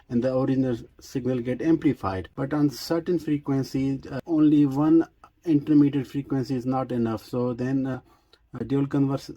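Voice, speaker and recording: Mellow-voiced man giving a halting technical demo. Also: medium pitch, no room sound, lo-fi sound. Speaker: radio reviewer and demonstrator. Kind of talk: casual unscripted product demonstration.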